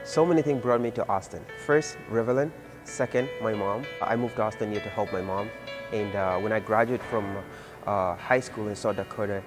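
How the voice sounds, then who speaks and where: Earnest young man, low voice, music behind. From Ethiopia. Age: young adult. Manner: earnest, halting with frequent fillers. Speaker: community college student leader. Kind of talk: personal interview testimony. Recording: music bed under the voice.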